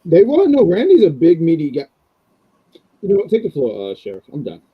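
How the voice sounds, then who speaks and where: A man's voice: a little high, huge pitch swings, brisk, husky, no echo, narrow, tinny audio. A man, co-hosting a casual wrestling review, rambling and chatty with lots of ums. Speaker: podcast co-host.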